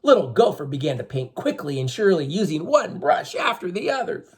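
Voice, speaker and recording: Animated, husky male storyteller for children. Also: a little high, fairly quick. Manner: teacherly, emphatic, addresses listeners with questions. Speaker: storyteller reading to schoolchildren. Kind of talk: expressive children's story read-aloud.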